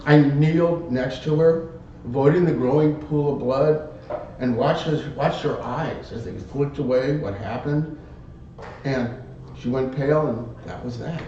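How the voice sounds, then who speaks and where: Theatrical male storyteller in an echoey room. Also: dark tone. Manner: theatrical, halting phrases, acted outbursts. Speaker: contest speaker telling a tall tale. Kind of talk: live dramatic storytelling speech. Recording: very echoey room, distant muffled mic, rumble.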